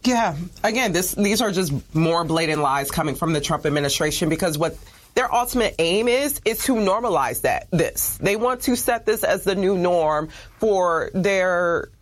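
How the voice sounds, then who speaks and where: A woman with a fairly low voice speaks as a local elected official. She gives pointed political commentary in answer to a news interviewer. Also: animated, moderate pace. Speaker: city council member.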